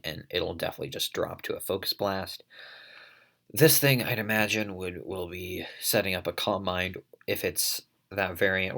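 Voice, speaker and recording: A man with a very low, gravelly voice calls a competitive online battle in loose play-by-play. He sounds congested, coughs now and then and hedges his calls as he thinks aloud. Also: fairly bright tone. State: congested from allergies. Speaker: league commentator. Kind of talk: casual play-by-play game commentary.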